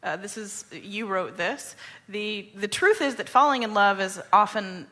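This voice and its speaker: A woman's voice: medium pitch, a little slow, bright, forceful tone. A woman hosting a radio interview, asking questions in a conversational way.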